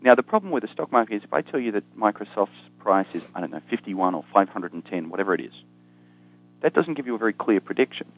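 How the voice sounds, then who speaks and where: Fast-talking Australian man, low voice. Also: very animated, low-quality recording. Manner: dry self-deprecating humor, many ums and restarts. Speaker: economist guest. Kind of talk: conversational explaining.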